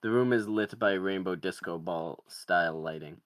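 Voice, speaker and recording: A man's voice: low-pitched, moderate pace, no echo, studio quality. A man reading screenplay stage directions as narrator at a table read.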